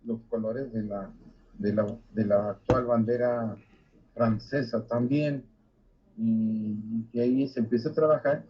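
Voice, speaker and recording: Older man lecturing, husky. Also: mid-range voice. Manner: halting, many fillers and restarts. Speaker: university lecturer. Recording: dull lo-fi recording.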